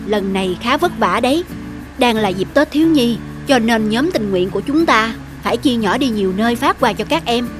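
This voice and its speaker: A woman acts a responsible young male volunteer leader in a cartoon. The voice is high, friendly and instructive, with faint music underneath. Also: expressive, normal speed, natural voice. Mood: explaining. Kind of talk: cartoon dubbing.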